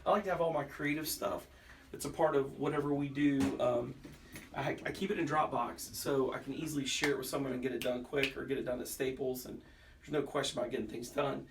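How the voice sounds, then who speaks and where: A man's voice: mid-range voice, fairly even intonation, a little fast. A man talking casually as a vlogger, in the manner of a pastor chatting informally. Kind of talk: casual vlog talk. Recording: noisy, distant handheld camera sound.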